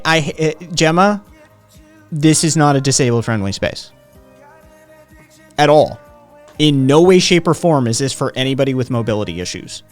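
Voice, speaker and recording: A man's voice: medium pitch, clear, resonant tone. A man hosting a live-streamed talk show, speaking casually with many hesitations and answering viewers' comments. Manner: hesitant, many "um"s, reads chat aloud. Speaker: podcast host. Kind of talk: casual chat.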